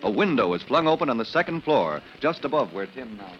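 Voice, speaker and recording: A man's voice: medium pitch, big pitch swings, a little fast. Male American announcer, wide swooping delivery, melodramatic, stentorian, vintage radio narration, muffled noisy recording. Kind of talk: dramatic old-time radio narration.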